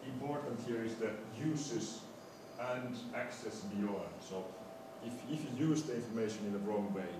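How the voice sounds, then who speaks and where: Halting male lecturer in a very echoey room. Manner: hesitant, halting delivery with stammered word repetitions. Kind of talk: academic lecture. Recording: very echoey room, distant mic, noisy rumble.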